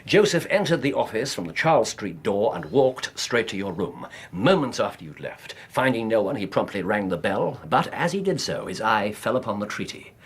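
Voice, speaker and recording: An incisive Victorian English detective in a radio drama. His voice is low and husky, swinging widely in pitch. He speaks with precise, commanding, theatrical emphasis. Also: big pitch swings, normal speed, hardly any pauses. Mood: brisk, explanatory.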